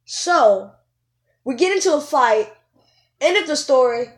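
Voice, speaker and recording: A woman telling casual stories to viewers in an animated, rambling way, often wandering off and correcting herself. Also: high-pitched, measured pace. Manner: animated, digressive, self-correcting. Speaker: video creator telling stories.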